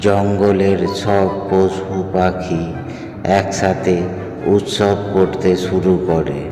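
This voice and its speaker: A man's voice: low voice, level tone, slow pace. A man narrating a scripted children's fairy tale in a storytelling role. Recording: echoey hall, loud music behind.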